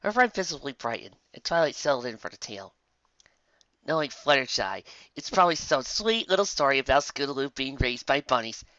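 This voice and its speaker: A man reading a story as a scripted narrator, with dramatic, expressive delivery on a muffled, dry home recording. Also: mid-range voice, fairly quick, no room sound. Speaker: fan fiction narrator.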